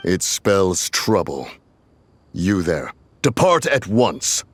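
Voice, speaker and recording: An actor playing a proud, wry male immortal in a game, with formal, measured and archaic delivery. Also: sits low, very animated, slight background noise, heavily acted. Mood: grave. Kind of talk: game cutscene acting.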